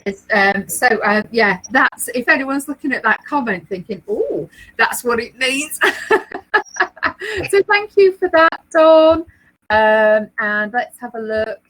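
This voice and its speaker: Woman, fairly high voice, rambling casual chat, knitting livestream host. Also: expressive, slow pace, a bit bright, low-quality recording. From England, Leeds area. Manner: chatty, many ums, laughs easily. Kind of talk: casual chat, reading viewer comments.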